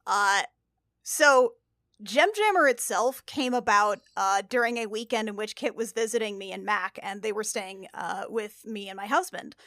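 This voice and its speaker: A woman's voice: medium pitch, moderate pace, fairly bright tone, dry recording, clean studio sound. A woman in a casual podcast chat, talking with enthusiasm as one of the cast.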